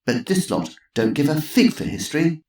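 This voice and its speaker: A man's voice: medium pitch, lively intonation, moderate pace, darkish tone, pitched above the performer's own voice, lightly acted. A man voicing a sly old woman who talks in a dry, knowing, tart way. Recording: roomy echo.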